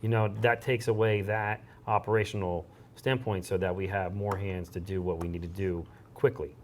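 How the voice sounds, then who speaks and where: Low-voiced man answering in an interview. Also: steady tone, moderate pace, a little hiss or hum. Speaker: fire chief, interview guest.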